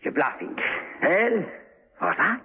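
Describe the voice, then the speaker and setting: An actor playing a cultured, smug imprisoned villain in a 1970s radio drama, with measured, taunting politeness. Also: male voice, a little high, wildly swooping pitch, heavily acted. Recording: muffled husky 1970s radio recording.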